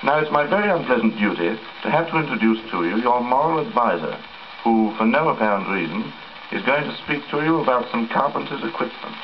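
A man's voice: mid-range voice, lively intonation, fairly quick. A man giving a dry, formal introduction in an old-time record style. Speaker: announcer and accompanist. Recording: dull crackly old 78 record.

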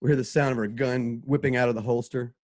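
A man's voice: medium pitch, fairly even intonation, fast talker, darkish tone, no room sound, clean studio sound. A man narrating a radio play, casually describing the action and sound effects as he goes. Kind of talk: casual live narration of stage directions and sound cues. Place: home, remote video call.

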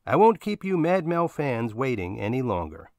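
A man's voice: mid-range voice, very animated, normal speed, a crowd in the background. A man hosting a podcast, reading a scripted introduction. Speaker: podcast host.

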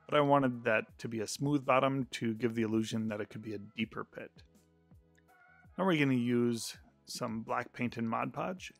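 A man's voice: medium pitch, gravelly, no echo. A man narrating a step-by-step crafting tutorial in a plain, explanatory voice-over with frequent filler sounds.

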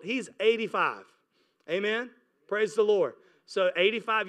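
Guy pastor preaching hard, really high voice, clear and ringing, keeps urging people on, handheld mic. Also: a bit bright. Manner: exhorting, repetitive urging. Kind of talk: impassioned preaching sermon with scripture reading. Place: church stage, indoors. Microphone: handheld microphone held close.

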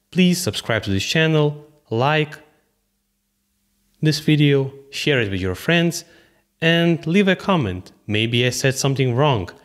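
Young lithuanian guy talking cars. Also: medium pitch. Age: young adult. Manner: animated, gestures a lot, slightly broken English. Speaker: car vlogger. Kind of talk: casual enthusiastic chat to camera. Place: bedroom, indoors. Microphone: studio mic on a stand.

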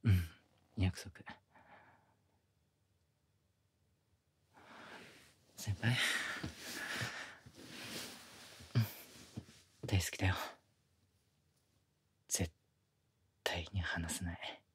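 A man's voice: sits low, takes it slowly, pauses often, slight background noise. A man playing a pushy, affectionate younger male coworker in love, murmuring teasingly in an intimate ASMR boyfriend roleplay, often close to a whisper. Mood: coaxing, affectionate. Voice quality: breathy, often dropping to a whisper. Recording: close binaural recording with background music.

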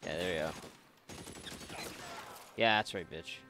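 A man's voice: deep voice, slow pace, noisy background. A man giving casual, rambling and sarcastic let's play commentary, swearing freely as he goes. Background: video game ambience.

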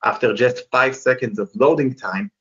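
A man with an Israeli accent giving a webinar presentation as a guest, explaining things steadily with the odd pause. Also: mid-range voice, husky. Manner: explanatory, steady, slightly halting. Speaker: guest presenter, company co-founder. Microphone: video call. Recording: dull lo-fi webinar audio.

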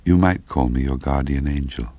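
An older British man voicing a mysterious, serene woman in a ghost story, gentle, matter-of-fact and quietly beckoning. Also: extremely low pitch, normal speed, vocal fry, breathy edge, dry recording, exaggerated voice. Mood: gently inviting.

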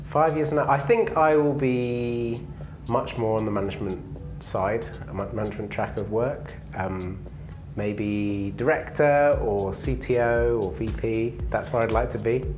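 A man in his thirties from England, answering interview questions in a relaxed, easygoing way with plenty of fillers, on a lapel mic in an indoor lounge. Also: mid-range voice, measured pace, soft background music. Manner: relaxed, laughs easily, many fillers. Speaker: interview guest, engineering manager.

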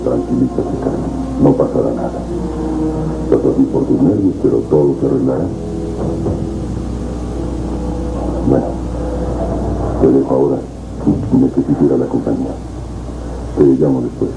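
A man's voice: rumbling low, fairly even intonation, normal speed, pauses often. A middle-aged man playing a firm, protective relative in a 1970s telenovela. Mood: reassuring. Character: protective male relative, firm, calmly reassuring. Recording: muffled, noisy, echoey old TV tape.

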